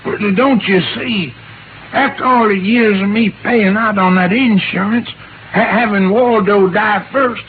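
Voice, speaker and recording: A man's voice: high-pitched, unhurried, phone-quality sound. An American actor playing a flustered, frightened old rascal in a radio drama, stammering as he talks. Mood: frightened, pleading. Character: flustered, frightened old male rascal, backwoods insurance swindler. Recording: thin, noisy old radio broadcast.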